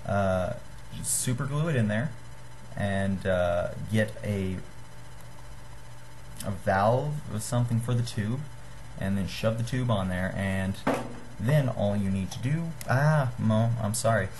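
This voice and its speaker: A young man giving a casual how-to demonstration to a webcam in a small room, halting and apologetic. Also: on the low side, background noise. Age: young adult. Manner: halting, apologetic, self-deprecating. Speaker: hobbyist giving a homemade gadget tutorial. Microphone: webcam or computer microphone at desk distance.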